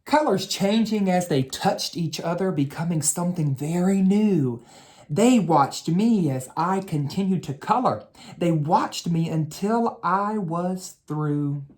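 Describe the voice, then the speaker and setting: A man speaking as an educator in a bedtime read-aloud for children, with a gentle, instructive way of talking and drawn-out key words. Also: high-pitched, lively intonation.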